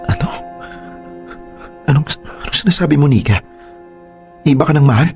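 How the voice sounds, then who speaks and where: Jealous Filipino fiancé, volatile swings. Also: male voice, fairly high pitch, expressive, normal speed, music in the background. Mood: angry, accusing. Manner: confrontational, peppers talk with sharp questions. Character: angry, jealous fiancé, young man, hurt and accusing. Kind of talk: Filipino radio drama acting.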